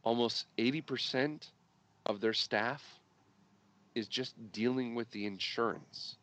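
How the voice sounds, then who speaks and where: A man's voice: mid-range voice, unhurried, a bit bright. A man co-producing a podcast, still getting over an illness, making his points emphatically and repeating them. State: recovering from illness, deep voice. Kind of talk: casual political chat.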